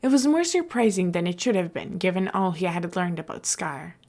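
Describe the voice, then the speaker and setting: A woman's voice: on the low side, normal speed, a little mellow. A woman reading scripted fiction aloud as an audiobook narrator.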